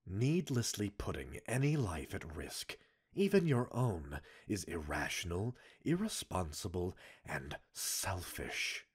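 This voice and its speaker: Man acting as a deep, husky, stern commander. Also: sing-song, exaggerated voice. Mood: controlled anger. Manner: formal, measured, clipped reprimand. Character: stern male military commander, cold, scolding a reckless subordinate. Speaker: voice actor in a roleplay audio. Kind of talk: scripted ASMR roleplay monologue.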